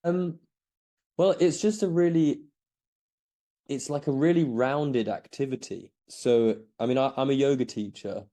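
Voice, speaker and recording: A man's voice: mid-range voice, a little slow, dark tone. A man in his thirties who grew up in Belgium, answering interview questions in a casual, hesitant way with many restarts. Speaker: podcast guest.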